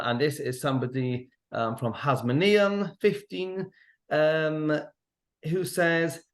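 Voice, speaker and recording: A man with a Mancunian accent, hosting and speaking gently as a therapist, with a soft, halting delivery and many pauses. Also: mid-range voice. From Manchester, England. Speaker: host and therapist. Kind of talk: gentle supportive talk to teens.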